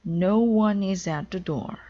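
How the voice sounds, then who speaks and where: A woman's voice: medium pitch, slow pace, warm tone, highs cut off, pitched above the performer's own voice. A woman voicing a bossy, exasperated male orchestra conductor from a children's storybook, in a commanding manner. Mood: impatient.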